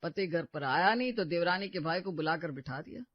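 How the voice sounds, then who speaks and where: A harsh, accusing grown husband in a cartoon story, always suspicious and quick to scold, put on by a woman narrator. The sound is dull and lo-fi, with a dry, close feel. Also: fairly low pitch, expressive, no echo.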